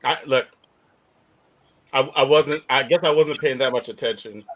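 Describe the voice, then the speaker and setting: A man co-hosting a casual chat over a video call, animated and incredulous, often cutting himself off. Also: medium pitch, moderate pace. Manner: animated, incredulous, self-interrupting. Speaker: podcast co-host.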